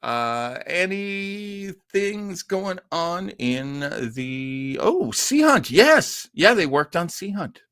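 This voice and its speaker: A man hosting an old-time radio show livestream, chatting casually and enthusiastically in a rambling, halting way full of tangents. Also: high-pitched, very animated, a bit bright, smooth, no room sound, studio quality.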